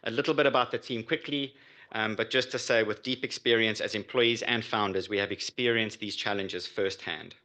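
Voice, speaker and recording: A man delivering a prepared pitch as a startup founder. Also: steady tone, normal speed, pushed and bright. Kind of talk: prepared business pitch presentation.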